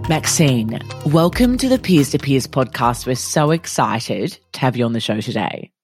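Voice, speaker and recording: A woman's voice: extremely low pitch, sing-song, moderate pace, a little mellow, faint music behind. A woman hosting a podcast, reading an intro and then interviewing with effusive enthusiasm. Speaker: podcast host.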